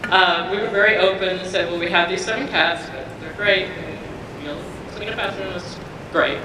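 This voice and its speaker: A man's voice: sits high, fairly even intonation, moderate pace. A man fan telling a chatty story at a convention microphone. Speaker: fan asking a question. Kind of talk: casual chat. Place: large convention hall. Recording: echoey hall from far off with noise.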